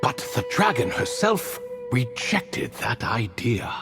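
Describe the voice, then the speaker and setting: Game casting: ancient ibis king spirit, courtly and whimsical, lively mid-range voice, long-winded storyteller. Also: mid-range voice, takes it slowly, gravelly, music in the background, exaggerated voice. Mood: nostalgic, resigned. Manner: theatrical, courtly, long-winded storytelling with rhetorical tag questions. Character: ancient talkative ibis king spirit in borrowed cat form, whimsical, nostalgic. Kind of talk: video game character dialogue.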